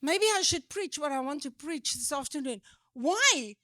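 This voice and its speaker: A woman's voice: sits high, dry recording. Emphatic South African woman preacher. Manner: animated, emphatic, drawn-out stresses. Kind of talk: sermon teaching.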